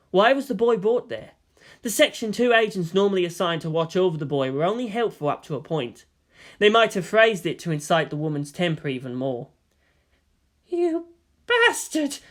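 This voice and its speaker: A man's voice: high-pitched, a bit bright. A man reading fan fiction as narrator, in a dramatic scripted storytelling style.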